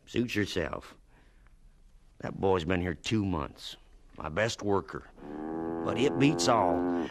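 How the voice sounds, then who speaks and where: An actor playing a gruff but kindly farmer and boss in a radio drama, blunt, folksy and emphatic. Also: male voice, low voice, slow pace, long pauses, heavy background noise. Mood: wry, puzzled.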